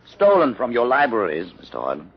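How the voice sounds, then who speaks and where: An actor playing a learned, commanding older rebel aboard a starship in a radio drama, speaking in a deliberate, theatrical, condescending way. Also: male voice, mid-range voice, huge pitch swings, slightly rough, full character voice. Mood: probing, wry. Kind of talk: old-time radio drama acting. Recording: muffled, noisy old radio recording.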